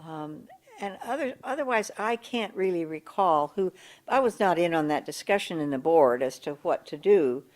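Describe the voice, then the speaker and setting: An older woman with a big voice, recalling local government history in an oral history interview, pausing and restarting as she searches for words. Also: fairly low pitch, expressive. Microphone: table mic in front of a coffee pot.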